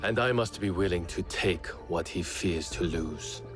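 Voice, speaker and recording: A man playing a resolute, calculating feudal Japanese lord in a video game, speaking formally and gravely. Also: low-pitched, a little slow. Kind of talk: cinematic video game acting. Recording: prominent music behind the voice. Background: clear background music.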